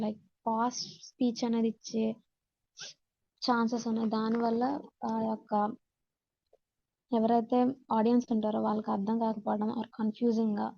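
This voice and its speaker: Telugu woman lecturing, muffled creaky voice. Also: fairly high pitch, mellow, dry recording. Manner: instructional, mixes Telugu with English terms. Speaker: teacher. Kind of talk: classroom lecture reading out points and explaining them.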